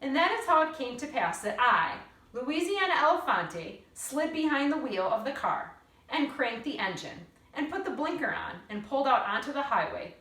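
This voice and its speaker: Earnest, indignant storybook girl, voiced by a woman, emphatic and solemn, animated pitch, echoey room. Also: moderate pace. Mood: resolute. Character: earnest, indignant young girl narrating her troubles. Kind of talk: expressive read-aloud of a children's novel. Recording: roomy echo, distant mic.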